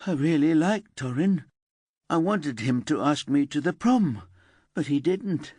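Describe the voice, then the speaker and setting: A man puts on a high, whiny teenage girl, indignant and sulky, her voice swinging up and down. Dry, close sound with no room around it. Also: animated, unhurried, a little mellow, dry recording. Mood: resentful. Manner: whiny and indignant, rising to wailing.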